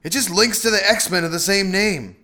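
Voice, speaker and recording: A man's voice: on the high side, sing-song, normal speed, a bit bright, voice pushed up. A peevish young husband from a comic storybook as a male reader plays him. He complains with stubborn exasperation, and his voice rises and falls in big swings. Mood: weary, exasperated. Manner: complaining, groaning.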